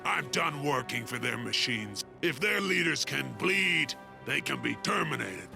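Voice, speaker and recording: An actor playing a proud, bitter alien tribesman with a grave, forceful way of talking, in a video game. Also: mid-range voice, big pitch swings, unhurried, vocal fry, music in the background, full character voice. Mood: defiant. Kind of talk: video game cutscene acting.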